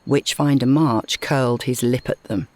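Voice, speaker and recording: A woman reading a scripted short story as narrator, in a measured, dramatic storytelling manner. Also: extremely low pitch, steady tone, darkish tone.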